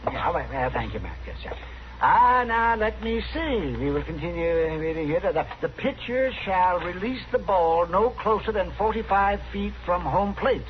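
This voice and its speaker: Fussy, high-voiced old frontier doctor. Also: male voice, expressive, moderate pace. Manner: pedantic, hemming, officious. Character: fussy, crotchety small-town frontier doctor, older man. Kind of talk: old-time radio western acting. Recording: dull, noisy vintage radio recording.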